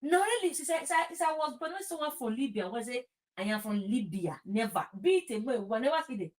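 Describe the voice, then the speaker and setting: A woman's voice: on the high side, lively intonation, brisk. A woman with a Nigerian accent talking informally and emphatically about her opinions, repeating phrases and slipping into Pidgin. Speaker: talk show host. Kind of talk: impassioned informal political talk.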